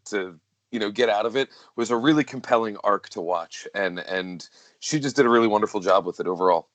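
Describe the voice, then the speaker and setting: Man, low voice, dry close sound, earnest, effusive, casual film discussion. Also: a bit bright, no echo. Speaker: podcast co-host.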